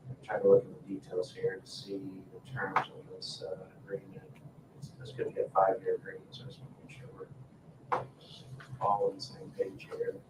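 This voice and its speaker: Man, town staffer at a meeting, halting and matter-of-fact; echoey, distant pickup. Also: sits low, completely flat delivery. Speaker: town staff member presenting agenda items. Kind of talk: informal presentation at a council meeting. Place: indoor town council meeting room. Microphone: distant room microphone. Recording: echoey meeting room, distant mic, faint noise.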